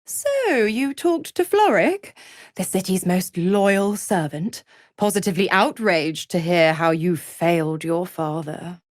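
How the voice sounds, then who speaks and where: A sly, gloating she-devil for a video game, who toys with her victims. She draws words out at a leisurely pace and swoops theatrically through her pitch, dripping mocking condescension. The recording is close and dry. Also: animated, slow, no echo. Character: gloating, seductive female devil, mocking pact-holder. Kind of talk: video game character acting.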